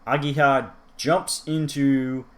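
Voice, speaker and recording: A man's voice: mid-range voice, fairly even intonation, slow. A man in a middle register talks through a manga review in a loose, rambling way. He drifts into side remarks to the viewer and circles back to his point. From United States. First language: English. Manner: chatty and rambling, with asides to the viewer. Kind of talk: casual solo review talk.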